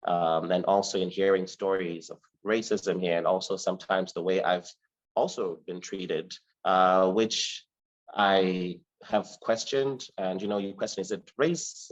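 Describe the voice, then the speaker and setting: A man from Zimbabwe answering interview questions about his life and art in a thoughtful, hesitant way, with many fillers and restarts. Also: low-pitched. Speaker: artist guest. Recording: slightly dull lo-fi recording.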